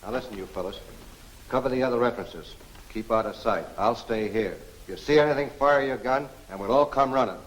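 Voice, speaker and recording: A man's voice: medium pitch, fairly quick, lightly acted. An actor playing a jumpy, defensive gangster underling in a 1940s crime film serial, talking in an urgent tough-guy manner. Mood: eager. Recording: slightly noisy old 1940s film soundtrack.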